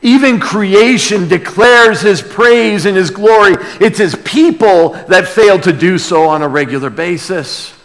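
A man with a fairly high voice preaches in a big hall with long reverb. His delivery is reverent and emphatic, swinging between loud proclamation and quieter, weighty phrases as he teaches from scripture. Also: unhurried, hardly any pauses. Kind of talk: expository sermon with dramatic scripture reading.

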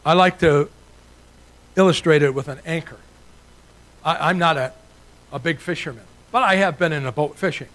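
An older man from Italy delivers a sermon with a slightly high voice. He preaches earnestly and builds his points through rising repeated lists. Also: normal speed, pauses often, a bit bright, low-quality recording. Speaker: guest preacher.

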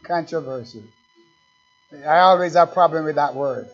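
A man preaching a sermon as pastor, swinging from quiet asides to loud, slow, emphatic scripture reading and questions put straight to his congregation. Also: on the high side. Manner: reads scripture slowly and with emphasis. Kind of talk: fiery sermon. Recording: dull, lo-fi recording.

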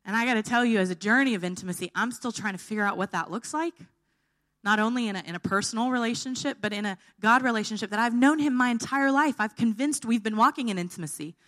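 A woman's voice: fairly high pitch, fast, a bit bright. A woman preaching an informal sermon, her voice tired and held back, often checking in with her listeners.

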